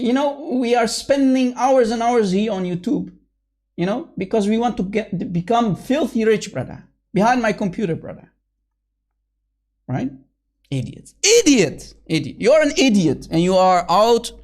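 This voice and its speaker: High-voiced man in a heated online debate. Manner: heated, scornful, calls opponents names; repeatedly addresses viewers as guys and brother. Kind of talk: combative online debate commentary. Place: at his computer.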